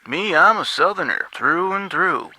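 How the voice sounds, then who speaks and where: A man's voice: fairly high pitch, lively intonation, unhurried, pushed and bright, slightly rough, no echo, exaggerated voice. An actor playing a folksy, long-winded man with a Southern US accent, rambling in a game. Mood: folksy reminiscing. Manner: garrulous, drawling folksy storytelling. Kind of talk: game voice acting.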